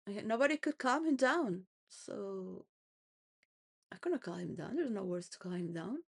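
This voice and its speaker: A woman's voice: mid-range voice, expressive, a little slow, vocal fry, slightly rough. A woman rambling through a personal monologue, full of restarts and wandering thoughts, sometimes laughing between phrases. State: homeless.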